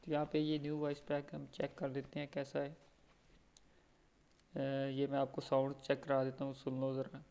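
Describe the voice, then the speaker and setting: Smooth Pakistani man, fairly even pitch. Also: moderate pace. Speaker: gaming YouTuber. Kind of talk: casual chat over gameplay.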